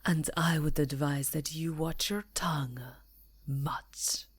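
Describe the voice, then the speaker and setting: Woman acting a breathy, haughty vampire countess. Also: sits low, fairly even intonation, slow, heavily acted. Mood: haughty, defiant. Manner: formal, imperious, poised. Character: regal vampire countess, haughty, composed, coolly civil. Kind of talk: ASMR roleplay acting.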